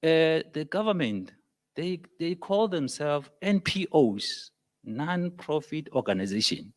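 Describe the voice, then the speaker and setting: This is a South African man speaking up from the floor at a public meeting. English is not his first language, so his phrasing halts in places. He gets emphatic, climbing to loud peaks as he drives his points home. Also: fairly high pitch, sing-song, slow. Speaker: audience member. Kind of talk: impassioned public comment.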